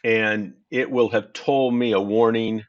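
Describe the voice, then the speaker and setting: A man with a fairly low voice explains his setup for an online game at an unhurried pace. He often stops to correct himself mid-sentence. The recording sounds dull and lo-fi, as if made on a basic desktop mic. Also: steady tone. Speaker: gaming tutorial host. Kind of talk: casual screen-recorded game walkthrough.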